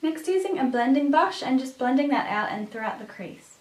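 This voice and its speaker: A woman with a raspy voice, a bit sick with a cough, presenting a makeup tutorial as a beauty vlogger. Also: sits high, expressive, fairly quick, darkish tone. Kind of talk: scripted makeup tutorial narration. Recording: roomy echo, distant microphone.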